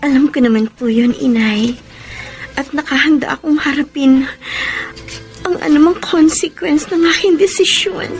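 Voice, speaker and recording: Sweet naive filipina girl in love, high voice, radio romance, soft music under. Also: normal speed, pauses often. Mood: earnest, happy. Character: sweet, innocent, lovestruck young daughter.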